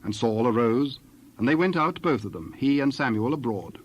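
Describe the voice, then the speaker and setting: A man narrating dramatized scripture in a formal, measured storytelling style. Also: medium pitch, animated, highs cut off, a little hiss or hum.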